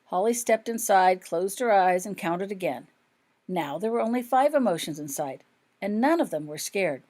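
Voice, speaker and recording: A woman's voice: medium pitch, expressive, moderate pace, darkish tone. A woman reading her own fiction aloud as scripted audiobook narration.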